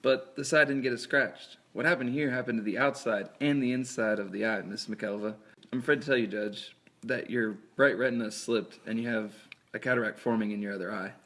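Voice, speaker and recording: A medium-pitched, sober voice from a man acting an earnest eye surgeon in an amateur film. He is grave and measured with his patients. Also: fairly even intonation, fairly bright tone, natural voice. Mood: grave, businesslike. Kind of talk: amateur scripted film dubbing.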